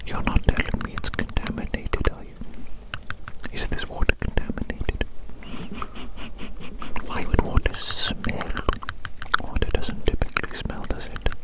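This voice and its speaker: A woman's voice: natural voice, rough recording. Woman playing a whispering evil mad scientist. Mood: musing, curious. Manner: slow, repetitive, theatrical musing with formal wording. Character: evil mad scientist doctor, grandiose yet fond of creature. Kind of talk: whispered ASMR roleplay.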